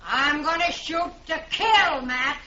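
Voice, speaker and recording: A woman's voice: high voice, slow pace, bright, forceful tone, a little hiss or hum, exaggerated voice. A woman playing a defiant homesteader in a radio western, giving stern, threatening warnings. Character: defiant, armed female homesteader guarding her land. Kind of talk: old-time radio western acting. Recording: dull lo-fi radio drama recording.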